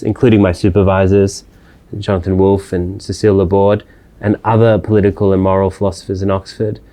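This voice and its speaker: A man gives reflective answers in an interview. His voice sits low and sounds soft and mellow. He hesitates often and restarts his phrases as he thinks. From Australia. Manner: thoughtful, hesitant with many fillers and restarts. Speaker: interviewed scholar.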